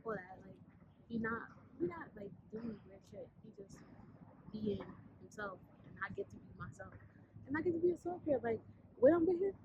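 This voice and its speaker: A woman, chatting bluntly and animatedly in a casual vlog, a little raspy from sinus trouble, filmed outdoors on a city street by a camera on a tripod. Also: a little high, moderate pace. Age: 20s. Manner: blunt, animated, swears freely. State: sinus congestion, slightly raspy. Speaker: vlogger. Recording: dull street recording with city noise.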